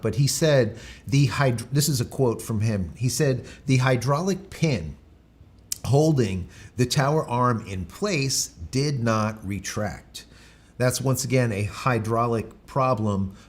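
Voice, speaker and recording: A man hosting a live stream, chatting casually, rambling, with many fillers and restarts. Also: on the low side. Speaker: livestream host.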